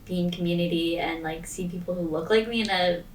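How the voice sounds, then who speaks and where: Young woman student, low-ish voice, earnest and filler-heavy; distant mic in a roomy space with faint hiss. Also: a little fast. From Maine. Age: young adult. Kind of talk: casual discussion answer.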